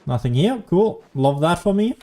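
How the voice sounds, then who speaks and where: A man with a soft, dark-toned voice, pitched a little high, chats casually over gameplay. His commentary leans sarcastic, with faint game music behind him. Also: big pitch swings, moderate pace. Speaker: gaming streamer.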